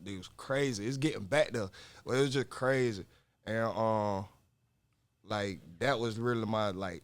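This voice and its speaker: A young male rapper, chatting in a loose, rambling way with plenty of slang. His voice sits on the low side. The sound is close and dry with no room echo. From Augusta, Georgia, US. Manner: relaxed, rambling, slangy with fillers like "like" and "you feel me". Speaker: rapper guest. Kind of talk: casual interview chat.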